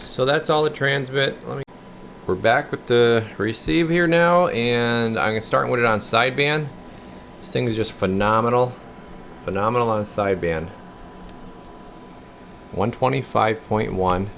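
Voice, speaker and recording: A man's voice: mid-range voice, creaky, fairly bright tone, a little hiss or hum. A man giving a casual, folksy hands-on demonstration as a repair technician, sometimes muttering to himself as he works. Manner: informal, folksy, muttering to himself while working. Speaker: repair technician presenting a bench test video. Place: indoor radio repair workshop.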